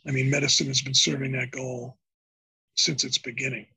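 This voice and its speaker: An older man with a gravelly voice, speaking as a guest in a podcast interview and explaining his ideas thoughtfully, often restarting his phrases. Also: medium pitch, level tone, moderate pace, sharp tone, poor audio. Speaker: interview guest, psychology professor.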